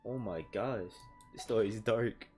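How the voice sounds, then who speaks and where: A man's voice: lowish voice, unhurried, warm tone. A man casually commenting on a video game as he plays, sometimes reading its text aloud. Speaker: game streamer. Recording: game music behind the voice.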